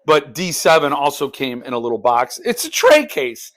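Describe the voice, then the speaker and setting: A man with a slightly high voice speaks as a book vlogger. His delivery is casual and unscripted, as if thinking aloud to his viewers, with phrases that break off and pick up again. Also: wildly swooping pitch, normal speed, a bit bright.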